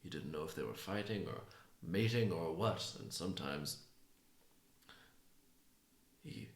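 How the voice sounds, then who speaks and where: A man improvising a folktale, telling it haltingly with pauses between phrases in a gravelly, creaky voice. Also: low-pitched, expressive. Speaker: writer improvising a story. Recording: roomy, echoing sound.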